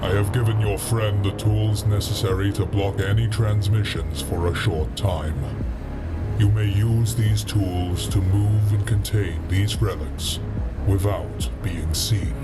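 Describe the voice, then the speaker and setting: A deep, slow, imperious alien shipmaster. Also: male voice, fairly even intonation. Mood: solemn. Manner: solemn, imperious, contemptuous of humans. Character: proud, menacing alien shipmaster guarding holy relics. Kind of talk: sci-fi audio drama acting. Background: music.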